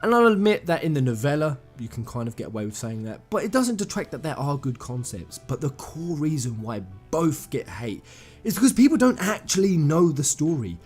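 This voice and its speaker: A man's voice: on the high side, big pitch swings, fairly quick, a little mellow, breathy edge, soft background music. A man hosting a video game channel, giving informal opinion commentary in an emphatic, slangy way with effusive fan enthusiasm, speaking straight to viewers.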